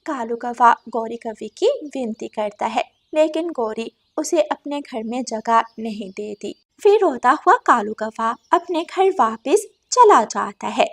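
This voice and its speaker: High-pitched woman storyteller. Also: animated, a little slow, hardly any pauses. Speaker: narrator. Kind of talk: children's story narration.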